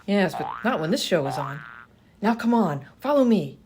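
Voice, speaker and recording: A woman playing a brisk, sarcastic, impatient adventurer in a comedy radio play. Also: medium pitch, very animated, heavy background noise. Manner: impatient, pointed questioning.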